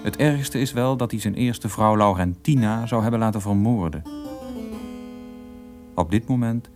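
A man's voice: lowish voice, normal speed. A man giving scripted narration as the storyteller of a radio drama serial. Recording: guitar music behind.

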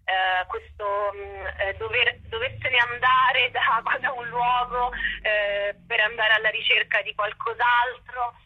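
A woman talking as a guest in a casual interview, pausing and hesitating as she builds her sentences. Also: a little high, fairly even intonation, slightly rough, highs cut off. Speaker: theatre artist, interview guest.

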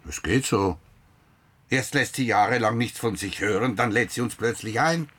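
Deep, husky, grumpy inspector. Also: male voice, moderate pace, a bit bright. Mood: grumpy, reluctant. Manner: curt, reluctant, grumbling. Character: grumpy, sceptical older male police inspector. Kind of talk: radio drama dialogue.

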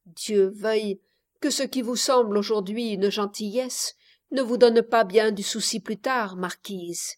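A woman voicing a courteous elderly gentleman in an audiobook, measured and moralizing. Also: medium pitch, close to an everyday voice. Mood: grave warning. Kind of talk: audiobook character voice.

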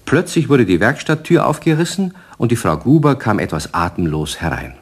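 A man narrating a children's radio play in composed, scripted storybook language with a husky voice. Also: medium pitch, very animated, normal speed. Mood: calm.

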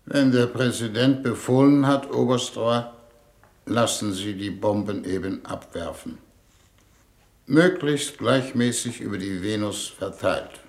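An actor playing a pompous, world-weary older foreign minister in a 1950s German radio drama, with languid, deliberate delivery. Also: male voice, lowish voice. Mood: resigned.

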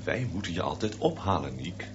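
A mild, placating father in middle age in a radio drama. His voice is low and moves expressively. The recording is a little muffled and noisy. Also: very animated, a little fast. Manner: reasoning, reassuring. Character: mild, placating, protective father of a teenage boy. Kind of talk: Dutch radio drama acting.

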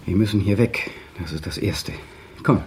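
An actor playing a tense, controlling husband in a 1960 German radio drama, clipped and insistent, sinking to a mutter. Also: male voice, low voice, normal speed, a little mellow, a little hiss or hum. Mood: restless. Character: tense, controlling young husband pressing his wife.

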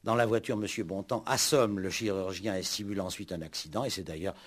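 An actor playing a shrewd, ironic police commissioner in a 1960s French radio play, speaking with deliberate, mock-courteous drama. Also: male voice, low-pitched, fairly even intonation. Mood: stern, revealing. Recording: old 1960s radio recording.

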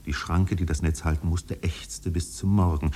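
An actor playing a solemn literary storyteller, narrating a 1950s German radio drama in a measured, dramatic manner. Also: male voice, fairly even intonation, warm tone. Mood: grave, brooding. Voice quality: deep, husky.

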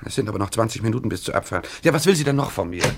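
An actor playing an agitated, earnest tutor in a German radio play, speaking in an urgent, protesting way. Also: male voice, on the low side, animated, rapid-fire, gravelly.

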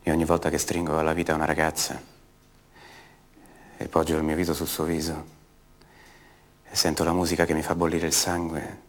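An actor playing the brooding adult son of a disappeared woman, speaking haltingly and intimately in a radio theatre monologue. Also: a bass voice, completely flat delivery. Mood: tender longing. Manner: halting, repeats words, intimate.

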